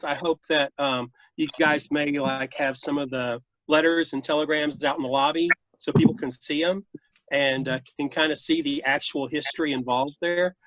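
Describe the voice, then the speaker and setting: A man's voice: on the high side, fairly bright tone, no room sound. A man giving casual, meandering feedback in a group video call. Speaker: table read participant giving feedback. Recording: dull, lo-fi video call audio.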